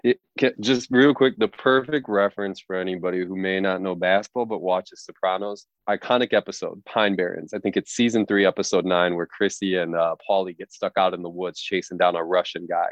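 Low voiced american guy giving blunt sports opinions, casual chat, muffled lofi mic, no room sound. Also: steady tone, a little fast, few pauses, no room sound. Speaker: sports talk podcast co-host.

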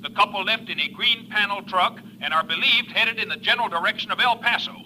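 1940s radio newsman, high-pitched, piercingly bright, formal clipped delivery, slightly noisy old recording. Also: fast talker. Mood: formal, urgent. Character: urgent male radio newsreader. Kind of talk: 1940s radio news bulletin acting.

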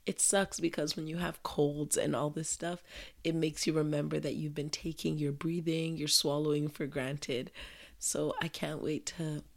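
A low-voiced woman talks through a casual solo podcast episode, her voice hoarse from a sore throat. Her delivery is gravelly, with a rough fry underneath. She speaks English as a second language and rambles in a friendly, conversational way. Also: flat delivery, moderate pace. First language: not English. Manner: chatty, conversational, rambling asides. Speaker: podcast host.

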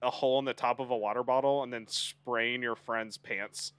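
A man's voice: medium pitch, fairly even intonation, moderate pace, fairly bright tone, clear, resonant tone, dry recording. A man in his twenties co-hosting a podcast, chatting casually in a playful way and laughing through his talk. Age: 20s. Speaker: podcast co-host. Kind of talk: casual chat.

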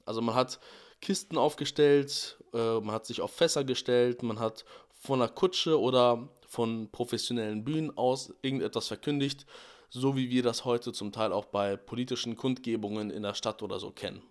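A young man with a slightly hoarse voice from a cold, hosting a devotional podcast and explaining a Bible verse in a relaxed, searching way. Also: mid-range voice, moderate pace. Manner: relaxed, explanatory, with fillers and restarts. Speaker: podcast host.

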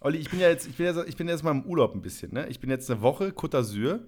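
A man's voice: fairly high pitch, expressive, fast talker, darkish tone. Rambling, wry male podcast co-host. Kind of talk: casual podcast chat.

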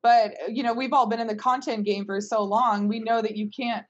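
A woman's voice: a little high, level tone, fairly quick, muffled recording. Emphatic, talkative woman in casual conversation. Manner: talkative, emphatic, repeatedly tags points with "right?". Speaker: company founder.

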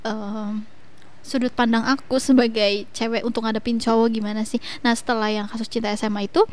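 20s woman guest on a chill podcast, bit high voice, umms a lot. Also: fairly quick. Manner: relaxed, giggly, hesitant fillers. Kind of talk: casual podcast chat. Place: coffee shop.

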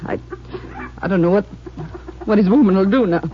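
A woman playing a nervous, evasive farm wife in a 1950s radio drama, speaking haltingly and tremulously. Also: medium pitch, close to an everyday voice. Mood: nervous, upset. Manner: halting, trembling, hesitant. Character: frightened, evasive farm wife hiding a secret. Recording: muffled, hissy 1950s radio recording.

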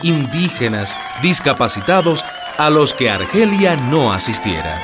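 A man reading scripted radio drama narration in a dramatic, clearly phrased way. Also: medium pitch, very animated, unhurried, music in the background, a crowd in the background.

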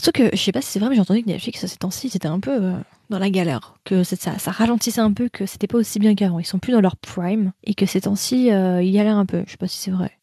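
A woman's voice: medium pitch, fast talker, few pauses, warm tone, husky, no echo, clean studio sound. A young woman hosting a casual live chat, talking to viewers in a slangy, rambling way with many fillers. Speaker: host of a live chat about Korean dramas.

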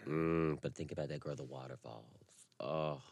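A man's voice: very deep voice, moderate pace, creaky, darkish tone, no room sound. A man in his twenties co-hosting a chat show, bantering casually and gossipily in a campy, sassy, slangy way.

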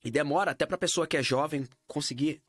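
A man from Brazil with a Brazilian Portuguese accent, in a relaxed talk, often stumbling and repeating words as he searches for what to say. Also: fairly high pitch, fast, husky, dry recording, clean studio sound. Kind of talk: casual conversation.

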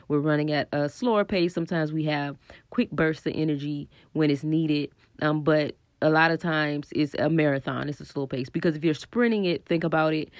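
A woman's voice: sits low, no echo. Stuffy woman with a cold doing her solo podcast, scratchy throat, lots of ums, dry close sound. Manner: earnest and encouraging, thinking aloud with frequent ums. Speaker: podcast host. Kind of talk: casual solo podcast monologue on spiritual growth.

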